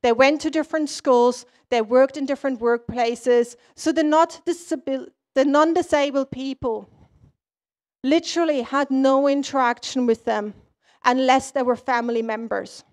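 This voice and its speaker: Woman academic from east germany, native german speaker, high voice, giving a conference talk, explains stuff in measured little chunks. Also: fairly even intonation. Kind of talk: prepared conference presentation.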